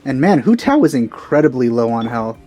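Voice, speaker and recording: A man's voice: mid-range voice, big pitch swings, fairly quick, mellow. Male let's play host, mid-range voice, offhand and rambling casual commentary over game music.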